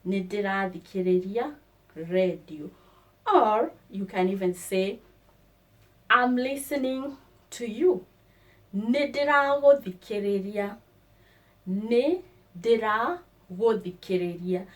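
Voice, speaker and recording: A woman leads a beginners' vocabulary lesson at an unhurried pace. She says every word with care and repeats it, and her pitch sits in the middle. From Kenya. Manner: slow and deliberate, repeating each word. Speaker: language teacher.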